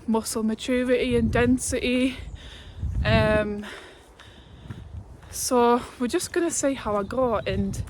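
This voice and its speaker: A young woman of twenty talks through her day in a relaxed, unhurried vlog, sprinkling in fillers and laughs. Her voice sits a touch high, and she sounds a little out of breath from walking uphill. A faint hiss of background noise runs beneath her. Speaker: fitness vlogger. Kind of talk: casual vlog talk to camera. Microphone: phone held at arm's length.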